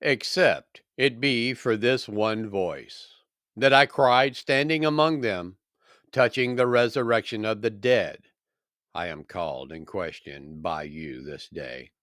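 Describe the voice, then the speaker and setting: A man voicing an accused apostle defending himself in a scripture reading, earnest, formal and measured. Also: lowish voice, a bit bright, no room sound, studio quality. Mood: earnest, defensive.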